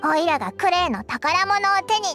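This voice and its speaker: A tiny childlike fairy sidekick from an anime game, chatters in an extremely high, bright voice. The manner is cheeky, boyish and brash, with faint music behind. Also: female voice, sing-song, brisk, heavily acted. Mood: satisfied. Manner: boyish, cheeky self-referential speech. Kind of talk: anime video game voice acting. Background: background music.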